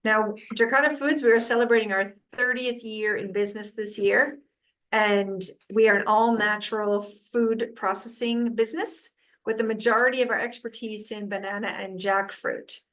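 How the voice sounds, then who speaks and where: A middle-aged woman introducing herself and giving business advice on a virtual panel, explaining in a measured way. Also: mid-range voice, fairly even intonation, fairly bright tone. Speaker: panelist, food company managing director. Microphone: video call.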